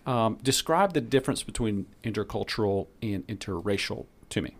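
A man's voice: fairly low pitch, expressive, moderate pace. A man hosting a podcast interview, asking questions in a friendly, curious way with frequent restarts. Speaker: podcast host interviewing guests. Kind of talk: casual interview.

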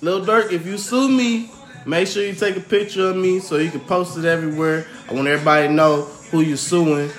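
High-voiced young American man, slangy. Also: a little fast. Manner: slangy, animated, profane storyteller. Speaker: podcast co-host. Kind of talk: casual storytelling chat. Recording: roomy echo, faint music underneath.

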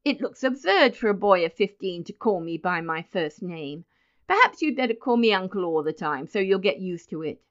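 A woman's voice: medium pitch, very animated, moderate pace, muffled recording, lightly acted. A woman voicing a stern, bossy grown man scolding a relative in an audiobook, speaking emphatically. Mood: stern, insistent. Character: stern, embarrassed grown son scolding his father. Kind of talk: audiobook character acting.

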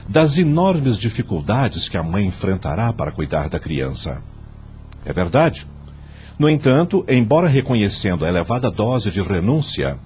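An actor playing a principled, devout judge in a Brazilian radio drama, speaking formally and oratorically with rhetorical questions. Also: male voice, fairly low pitch. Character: eloquent male judge and mentor, devout, firmly principled. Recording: dull lo-fi audio, faint music.

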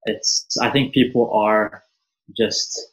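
A nineteen-year-old man from Norway speaks as an interview guest, his voice sitting fairly low. He talks earnestly and thoughtfully, pausing on fillers as he works through his ideas. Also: fairly even intonation, a bit bright, poor audio. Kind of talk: casual interview conversation.

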